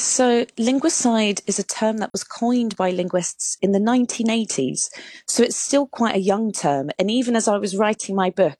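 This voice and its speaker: A woman's voice: medium pitch, a little mellow, no room sound. A young woman from the UK, an author, explaining her subject in a live interview, with repeated words and restarts. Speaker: author guest. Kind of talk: live interview on a phone line.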